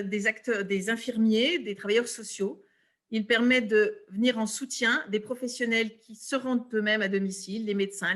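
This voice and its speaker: A woman's voice: a little high, a bit bright. A woman representing a care coordination service, giving an explanatory interview answer.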